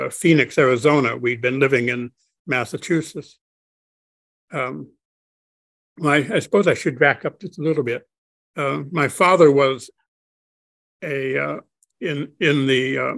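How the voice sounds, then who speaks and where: Older man with a gravelly voice on a video call. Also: medium pitch, a bit bright, no echo. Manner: slow, halting, many restarts and fillers. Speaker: interview guest. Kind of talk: reflective conversation. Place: home study, indoors.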